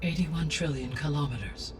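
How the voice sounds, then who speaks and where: A woman playing a composed, learned artificial intelligence in a video game, speaking in a measured, formal and precise way. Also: sits low, background noise, natural voice. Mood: calm, analytical. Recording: distant sound, clear game music behind. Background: music.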